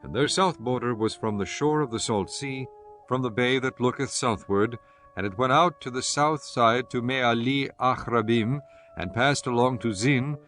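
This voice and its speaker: A man reading scripture as an audiobook narrator, in a measured, formal and solemn manner. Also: medium pitch. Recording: music under the voice. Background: soft instrumental music.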